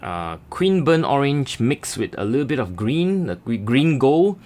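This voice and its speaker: A middle-aged man from Malaysia, talking casually through a sketching and watercolor tutorial with many fillers and pauses. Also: mid-range voice, sing-song, a little mellow. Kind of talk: relaxed tutorial narration.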